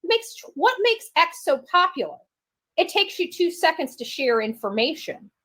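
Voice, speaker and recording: A woman's voice: extremely high pitch, very animated, moderate pace, fairly bright tone. A woman chatting casually on a livestream in a brash, mocking way.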